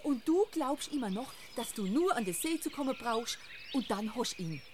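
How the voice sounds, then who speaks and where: Scolding high-voiced woman played by an actress. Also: expressive, moderate pace, background noise, lightly acted. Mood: exasperated, scolding. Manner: scolding, emphatic, colloquial. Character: scolding, exasperated nagging woman. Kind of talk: radio drama acting.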